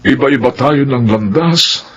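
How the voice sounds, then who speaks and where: An actor playing a gentle, wise older father in a radio drama, with a gravelly voice. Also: medium pitch, a little slow, muffled recording, a little hiss or hum, close to an everyday voice.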